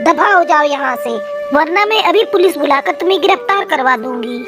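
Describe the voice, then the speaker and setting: A woman's voice: high voice, lively intonation, a little fast, music in the background. A woman voicing a haughty rich mother pigeon in a children's cartoon, contemptuous and threatening.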